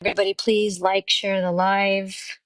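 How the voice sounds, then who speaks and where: A woman's voice: mid-range voice, level tone, moderate pace, dry recording, poor audio. Woman hosting a live stream, friendly intros, lots of ums, dry close sound. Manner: welcoming, with frequent "um" fillers. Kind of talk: casual hosting of a live panel.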